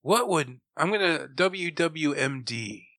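Crude, wisecracking man with a bright voice. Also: fairly high pitch, animated, slow, no room sound, clean studio sound. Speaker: player in a tabletop game. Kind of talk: casual joking banter.

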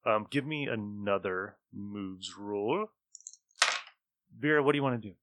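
A man acting as game master, narrating a tabletop adventure in an informal, halting way. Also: on the low side, noisy background. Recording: music under the talk.

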